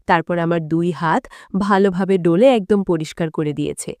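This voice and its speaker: A woman's voice: lowish voice, normal speed, darkish tone, no echo. A woman narrating a dramatic first-person story as a storyteller.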